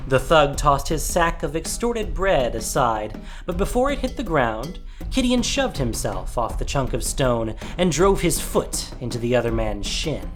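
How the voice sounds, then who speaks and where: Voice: mid-range voice, big pitch swings, a little slow. A narrator reading a scripted fantasy story in a dramatic audio drama style. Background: soft music.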